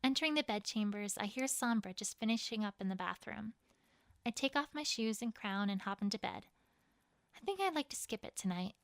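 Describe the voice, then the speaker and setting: A woman playing a proud, conflicted pony queen, telling her story in first person in a dramatic, expressive fan fiction reading. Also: medium pitch, fairly quick, no room sound, studio quality, close to an everyday voice. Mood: weary, tense.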